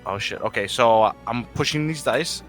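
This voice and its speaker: A man's voice: mid-range voice, moderate pace, a bit bright, faint music behind. A man chatting casually as a player in a tabletop roleplaying game, with a wry, grumbling manner.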